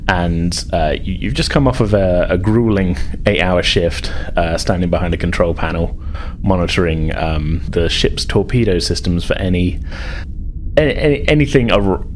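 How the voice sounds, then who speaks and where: A man with a low voice runs a tabletop role-playing game as its game master. He improvises haltingly, doubling back on words, with clear noise behind him.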